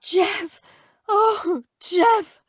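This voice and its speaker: An earnest young sweetheart from an old radio adventure, acted by a woman. Her high voice is slow and dramatic, with an anxious edge. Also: no echo, clean studio sound, full character voice.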